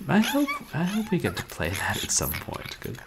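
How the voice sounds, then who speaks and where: A man in his thirties, a game streamer chatting casually at home in a wry, rambling, animated way. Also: a little high, normal speed, creaky, background noise. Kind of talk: casual livestream chat. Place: small room at home, indoors.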